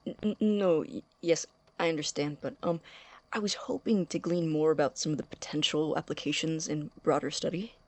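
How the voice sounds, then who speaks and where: An actor playing an eager, nervous young science student in an audio drama, hesitant, stammering and apologetically polite. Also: male voice, on the high side, steady tone, normal speed, a little mellow, dry recording. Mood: earnest, hesitant.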